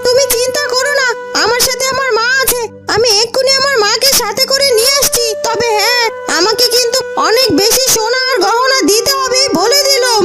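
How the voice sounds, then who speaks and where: A woman's voice: steady tone, a little fast, over loud music. A woman voicing a greedy, spiteful young village girl in a children's cartoon, with an insistent, whiny, demanding manner. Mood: bossy, demanding. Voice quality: very high, girlish voice. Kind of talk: children's fairy-tale cartoon dubbing.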